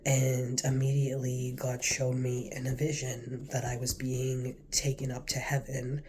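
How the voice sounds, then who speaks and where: A man with a cold and a stuffy nose, telling his faith testimony in a casual, earnest, rambling way, now and then dropping to a soft whisper. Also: medium pitch, monotone, measured pace, slight background noise. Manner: earnest, rambling, heavy with fillers. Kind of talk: casual spoken testimony to camera.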